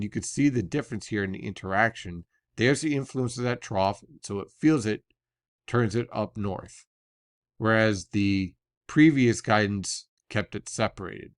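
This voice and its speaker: A man with an American accent and a fairly low voice works through a weather briefing. He sounds analytical and explanatory, with conversational asides, and the sound is dry and close with little room in it. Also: a bit bright, dry recording. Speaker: weather forecaster.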